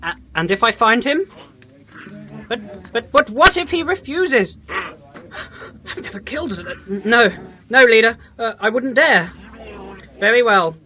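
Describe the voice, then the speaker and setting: A man's voice: very high voice, animated, slow, pauses often, sharp tone, heavily acted. A man playing a nervous secret agent who stammers. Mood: fearful. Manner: jittery, stammering.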